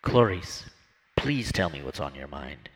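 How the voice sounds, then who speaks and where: A man's voice: a bass voice, big pitch swings, measured pace, exaggerated voice. A man voicing an anxious, devoted old man who talks in an earnest, pleading way.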